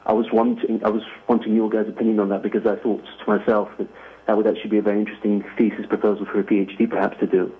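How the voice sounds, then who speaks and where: A man's voice: lowish voice, level tone, very fast. A man from Greece calling in to a talk show, asking a long question with many fillers and restarts. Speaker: caller. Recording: tinny phone line.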